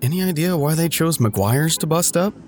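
A man's voice: a little high, lively intonation, moderate pace, slight background noise, lightly acted. An actor playing a curious local man in casual, blunt, naturalistic audio drama dialogue. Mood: curious, concerned.

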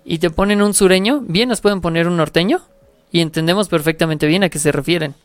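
A co-host, talking in a measured, informative way in a casual chat. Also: mid-range voice, no room sound.

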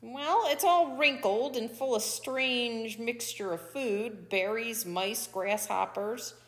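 A woman's voice: on the high side, takes it slowly, a bit bright, in a large hall. A woman voicing a stubborn, sly little horned toad in a folk tale, defiant and matter-of-fact. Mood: curious, unhurried.

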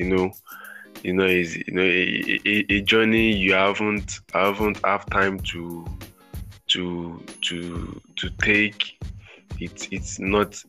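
A man's voice: sits low, sharp tone. A male podcast host, chatting casually and restarting his sentences often. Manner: halting, many restarts and repeated words.

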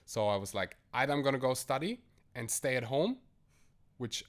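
A man's voice: medium pitch, sing-song, moderate pace. A man from Germany, a podcast guest talking casually and hesitantly from a bedroom at home, with many restarts. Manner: hesitant, full of restarts and laughs. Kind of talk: casual conversational interview.

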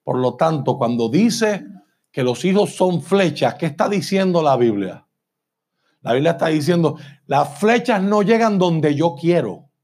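A male preacher giving a church sermon, slowing down to stress points and often asking "¿verdad?". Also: fairly high pitch, expressive, darkish tone. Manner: emphatic, slows and repeats for stress, asks "¿verdad?". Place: church sanctuary.

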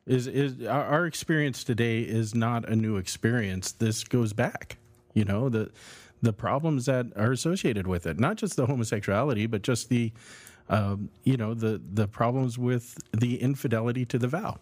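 A man's voice: mid-range voice, moderate pace, a little mellow. A man co-hosting a theology podcast as a pastor, explaining ideas in a casual, conversational and slightly wry way. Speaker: podcast co-host, Lutheran pastor.

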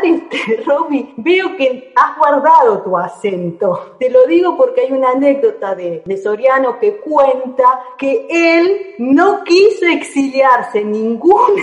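Woman on an echoey, dull remote line. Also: fairly high pitch. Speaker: guest. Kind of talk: casual anecdote.

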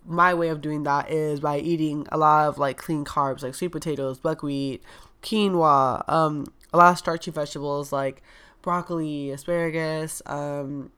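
A woman's voice: sits low, steady tone, normal speed, fairly bright tone, smooth. A young woman wellness coach talking casually and frankly, with a stuffed-up nose, full of "like" and "um". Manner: chatty, frank, heavy use of "like" and "um". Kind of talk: casual podcast talk.

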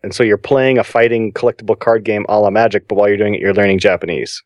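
A man's voice: lowish voice, steady tone, fast talker, a little mellow, no echo. A man on a podcast panel, chatting with enthusiasm as he shares his picks. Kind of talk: casual podcast chat.

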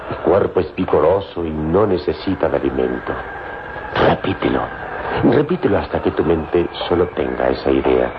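A man playing a calm, wise hero, speaking in a measured, commanding way in an old radio serial. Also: rumbling low, exaggerated voice. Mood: solemn, encouraging. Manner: solemn, measured, commanding, philosophical. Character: calm, wise, unshakable adult male hero. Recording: dull, noisy old radio recording.

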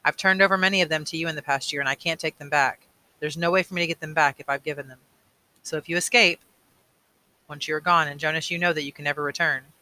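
Man as a grave, firm elder mentor, bright voice. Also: fairly high pitch, steady tone, low-quality recording. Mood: solemn, urgent. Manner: measured, earnest, authoritative.

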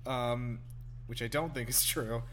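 A man's voice: mid-range voice, steady tone, unhurried, fairly bright tone, a little hiss or hum. A man giving casual, joking reaction commentary over a film, swearing now and then. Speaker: host of a movie reaction show. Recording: film soundtrack playing behind. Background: movie audio with music.

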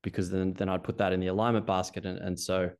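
A man's voice: low-pitched, little pitch movement, fast talker, clean tone. A middle-aged Australian man, a ski coach being interviewed, talking casually in a rambling way with many restarts. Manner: rambling with frequent restarts and fillers.

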